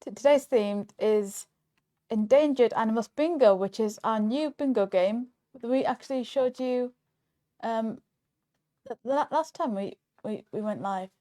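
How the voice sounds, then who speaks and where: A woman co-hosts an informal live show. Her voice sits fairly high but has a very mellow, dark tone with no hard edge. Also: measured pace. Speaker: show co-host. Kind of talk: casual live show presenting.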